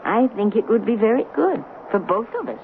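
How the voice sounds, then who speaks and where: An actress playing a serene, shrewd, motherly woman in her eighties in a radio drama, knowing and gently teasing. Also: on the high side, lively intonation, a little fast. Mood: tender, hospitable. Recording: muffled narrow-band radio broadcast, noisy.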